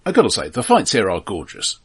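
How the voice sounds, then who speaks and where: A middle-aged man with a low, rough-edged voice talks casually as a film podcast host. He explains things analytically, in a halting flow, often doubling back on his words as he goes. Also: wildly swooping pitch. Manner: halting, repeats words as he thinks.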